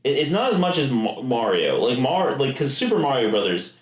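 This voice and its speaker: A man co-hosting a comedic podcast, chatting casually about films in a rambling, halting, emphatic way. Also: medium pitch, big pitch swings. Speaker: podcast co-host. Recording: echoey room.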